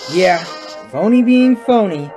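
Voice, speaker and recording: A woman's voice: medium pitch, lively intonation, takes it slowly. A woman voicing an earnest, good-natured little male creature in a comic dub, talking like a chatty storyteller. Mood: storytelling. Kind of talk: fan comic dub voice acting. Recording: music behind the voice.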